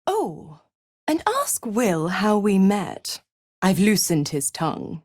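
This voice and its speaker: A woman's voice: medium pitch, wildly swooping pitch, slow, darkish tone, dry recording, clean studio sound, full character voice. An actress playing a seductive, scheming female devil in a video game, mocking and purring with condescending endearments. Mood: mock sympathy. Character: seductive, scheming, gloating female devil who makes pacts. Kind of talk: theatrical game character dialogue.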